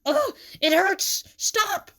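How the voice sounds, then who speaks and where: Brief: storybook would-be star, young man acted by a woman, theatrical, emphatic, swooping pitch, easily flustered. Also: sing-song, moderate pace. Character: dramatic, vain young male aspiring star, easily flustered.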